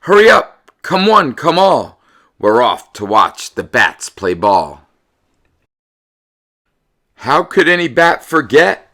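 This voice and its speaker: A middle-aged American man, a teacher speaking to young children with animated, exaggerated emphasis. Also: mid-range voice, takes it slowly, long pauses. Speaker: teacher reading stories aloud to young pupils. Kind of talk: casual chat to children, then storybook read-aloud. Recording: echoey room, a bit far from mic.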